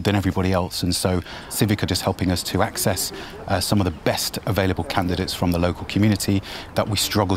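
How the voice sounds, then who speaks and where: A man's voice: sits low, slight background noise. A man, a company manager answering interview questions with frequent restarts and repeated words.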